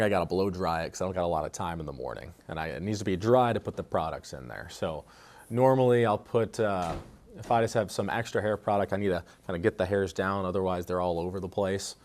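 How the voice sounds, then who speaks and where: Deep-voiced man chatting casually. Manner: relaxed, rambling with many fillers. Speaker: teacher interviewed. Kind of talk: casual interview answers.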